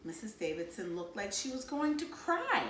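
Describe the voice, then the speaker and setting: A woman reading a children's novel aloud in expressive storybook narration. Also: medium pitch, moderate pace, reverberant, slight background noise. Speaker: read-aloud reader.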